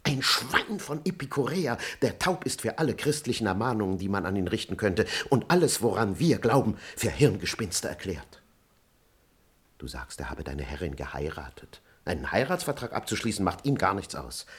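An actor playing a talkative, indignant yet cowardly manservant in a classic comedy radio play, speaking in a theatrical, confiding and pompous way. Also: male voice, lowish voice, very animated, moderate pace, slightly rough. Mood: indignant, ranting.

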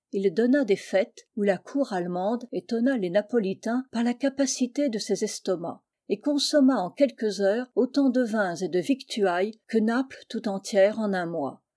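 A woman reading a literary historical story aloud as scripted narration, in a measured storytelling manner. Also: medium pitch, dark tone. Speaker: audiobook reader.

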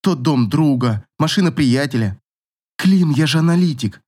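A man's voice: a little high, animated, normal speed, darkish tone, husky, pitched above the performer's own voice. A man voicing an insistent, questioning young single mother in an audiobook romance, with a challenging manner.

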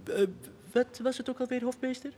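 An actor playing a vain, childish, pompous emperor with a Flemish accent in a comic children's radio drama. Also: male voice, very high voice, little pitch movement, brisk, warm tone. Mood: pompous, dithering. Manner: pompous, dithering, theatrical.

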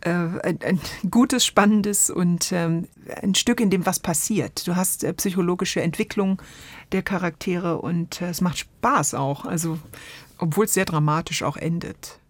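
A woman with a slightly low voice speaks in a dry, close studio sound. She hosts a show on radio plays in a casual, reflective way. Her delivery halts now and then as she searches for the right word. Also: a little slow, no room sound. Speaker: host of a radio drama podcast.

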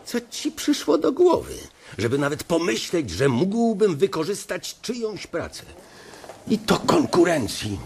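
A man's voice: high voice, huge pitch swings, a little slow, vocal fry, a little mellow, slight background noise, heavily acted. An actor playing a scheming, ambitious male senior analyst in a radio drama, blustering with indignation. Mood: indignant.

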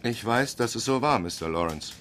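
A man's voice: medium pitch, expressive, moderate pace, a bit bright, a little hiss or hum, close to an everyday voice. An actor playing a naive, devoted and meek man in a radio crime drama, deferential and earnest in his speech. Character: meek, naive, devoted male suspect in love.

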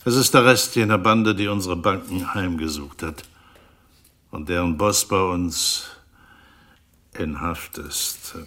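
A man acting in a German radio drama as a formal official, ceremonious and unctuously polite in a measured way. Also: low voice, pushed and bright, full character voice. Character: smooth-talking, formal official thanking with grand courtesy.

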